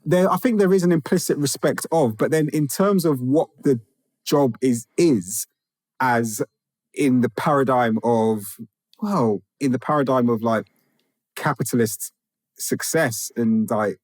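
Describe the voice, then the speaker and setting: A man's voice: mid-range voice, a little slow, darkish tone, dry recording. A man from England speaks as a podcast guest, thoughtful and searching, circling back to rephrase before landing his point. His voice sits in a middle register. The sound is close and dry, with no room around it. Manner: reflective, with restarts and rising emphasis. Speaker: guest, author and teacher. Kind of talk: conversational interview.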